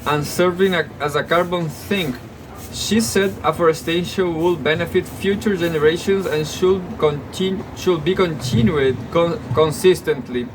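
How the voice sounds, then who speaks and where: Halting man reading news with sarcastic asides. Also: a little high, noisy background. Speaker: news commentator. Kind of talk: reading news with off-the-cuff commentary.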